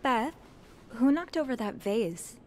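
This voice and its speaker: A woman's voice: on the high side, animated, slow pace, a little hiss or hum. A woman voicing a reserved, curious hotel maid in a narrative video game. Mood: curious, focused. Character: young female hotel maid, nosy, reserved, soft-spoken.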